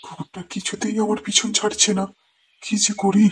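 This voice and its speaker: A man's voice: sits high, completely flat delivery, moderate pace, slight background noise, natural voice. A man playing a timid old woodcutter husband. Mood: fearful. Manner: fretful, scared muttering to himself.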